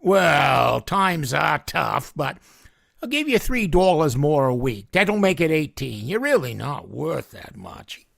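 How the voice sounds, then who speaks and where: Gravelly blustering store boss for a radio comedy, played by a man, dry close mic. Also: fairly high pitch, very animated, slow pace, a bit bright, no echo, exaggerated voice. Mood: gruff. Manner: curt, blustering. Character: gruff stingy older male store boss. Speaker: radio drama actor. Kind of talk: vintage radio comedy acting.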